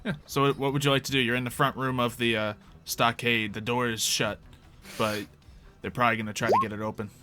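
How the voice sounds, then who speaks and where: A man's voice: medium pitch, level tone, brisk, pushed and bright. A man casually narrating a tabletop roleplaying game as game master. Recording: faint music under the voice.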